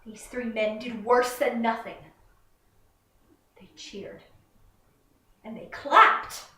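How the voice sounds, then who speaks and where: A young woman playing an impassioned, indignant prosecutor who addresses a jury deliberately and rhetorically in a dramatic monologue. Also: on the high side, pushed and bright. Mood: grave outrage. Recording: roomy, echoing, far from the mic.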